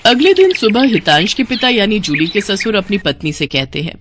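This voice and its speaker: A woman's voice: mid-range voice, big pitch swings, normal speed, noisy background. A woman giving scripted narration of a family cartoon story.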